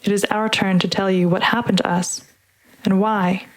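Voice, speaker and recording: A woman giving a measured, earnest scripted reading as narrator of a community story project. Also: medium pitch, fairly even intonation, slight background noise, poor audio. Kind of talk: scripted reading over music. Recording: music playing under the voice.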